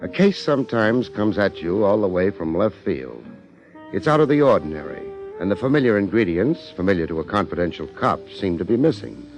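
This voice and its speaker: Low-voiced, wry hard-boiled American private eye. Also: male voice, big pitch swings, brisk, a little mellow. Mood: wry, world-weary. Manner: terse, wisecracking, streetwise. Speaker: lead actor and narrator. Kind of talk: 1950s radio detective acting. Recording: muffled 1950s radio recording, faint music.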